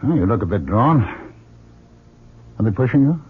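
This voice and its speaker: Wry father, lively intonation. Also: mid-range voice, husky. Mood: curious. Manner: wry, measured, probing. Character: dry, skeptical middle-aged father, a doctor. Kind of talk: old-time radio drama acting. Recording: dull, lightly noisy old radio recording.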